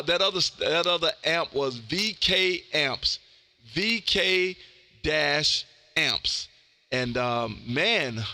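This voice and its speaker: Bright, forceful man hosting a radio livestream. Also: fairly high pitch, creaky. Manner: effusive, folksy, peppers talk with "man". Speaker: amateur radio livestream host. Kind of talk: casual radio chat with listeners.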